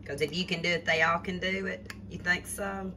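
Low-voiced older woman behind the camera. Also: steady tone, moderate pace, background noise. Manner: gentle, coaxing, asks tag questions. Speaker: vlogger filming a grandchild cooking. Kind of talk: casual chat behind the camera. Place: home kitchen, indoors. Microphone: phone camera held by the speaker. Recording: kitchen clatter of pots and dishes.

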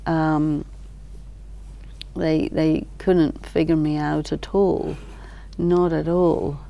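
An older woman explaining ideas thoughtfully as an interview guest, in short measured phrases with deliberate pauses. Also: deep voice, little pitch movement, creaky, dark tone. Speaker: expert guest, teacher. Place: large library hall, indoors. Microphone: lapel mic.

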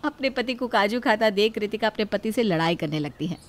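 A woman's voice: mid-range voice, expressive, brisk. A woman narrator telling a cartoon story in scripted storytelling style.

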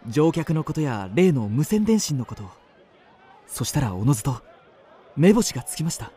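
Suave gentleman narrator, expressive delivery. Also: fairly high pitch, some chatter behind, a little hiss or hum. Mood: gallant, confident. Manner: measured, polished, courteous. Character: suave, well-bred young gentleman traveller telling his story. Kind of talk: radio drama narration and dialogue.

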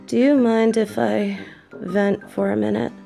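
Affectionate demon girlfriend voiced by an actress, worn out after work, warm low-key delivery, soft music bed. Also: little pitch movement, measured pace, creaky. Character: sweet tired female demon girlfriend, affectionate. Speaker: voice actor in an audio roleplay. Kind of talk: intimate audio roleplay.

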